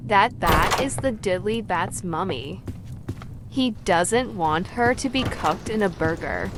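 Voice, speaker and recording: A woman voicing an excitable, scatty but kindly witch with emphatic, exclamatory delivery in a storybook reading. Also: medium pitch, slow, pitched above the performer's own voice. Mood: delighted. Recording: clear background noise.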